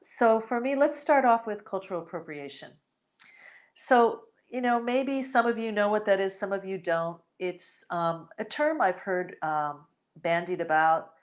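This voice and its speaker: A woman's voice: medium pitch, expressive, unhurried. A woman from the United States hosting her own spiritual podcast, talking off the cuff in a reflective, meandering way with many fillers and restarts. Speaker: podcast host, shamanic healer. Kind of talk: spontaneous spiritual podcast talk.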